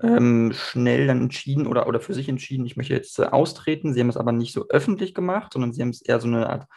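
A man's voice: mid-range voice, fast, vocal fry, darkish tone. A man interviewing a guest, asking long questions full of hesitations. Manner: hesitant, many fillers.

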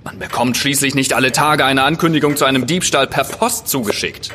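An actor playing an irritable, self-important Scotland Yard inspector in a German audio drama, brusque and commanding. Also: male voice, medium pitch, fairly quick, bright, forceful tone, slight background noise, close to an everyday voice. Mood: stern, testy.

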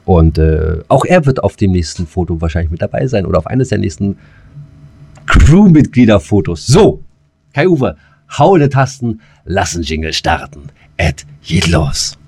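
A man's voice: deep voice, very animated, darkish tone, slight background noise. A man co-hosting a casual podcast, speaking colloquially and often correcting himself as he goes. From northern Germany. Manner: halting, self-correcting, colloquial. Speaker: podcast co-host. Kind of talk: casual unscripted podcast banter.

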